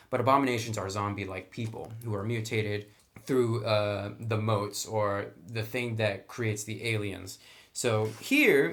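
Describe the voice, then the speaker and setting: A man giving a casual, conversational review of a tabletop game book, speaking with enthusiasm about the material. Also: medium pitch.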